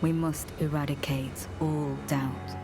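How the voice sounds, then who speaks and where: An actress playing a devoted, suspicious female knight in a fantasy game, speaking formally and with measured, archaic phrasing and quiet menace. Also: sits low, flat delivery, over music. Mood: weary, determined. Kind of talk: dramatic fantasy game dialogue.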